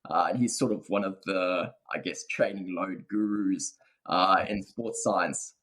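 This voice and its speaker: South African male researcher, young, husky low voice, measured interview answers. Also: fairly bright tone. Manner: thoughtful, hedging with many fillers. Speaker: guest researcher. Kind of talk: podcast interview.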